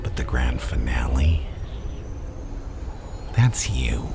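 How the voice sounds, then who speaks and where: Very deep, gravelly whispering villain. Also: male voice, sing-song, slow, heavy background noise, exaggerated voice. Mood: coaxing, sinister. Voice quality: gravelly, breathy, often whispering. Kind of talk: ASMR villain roleplay monologue.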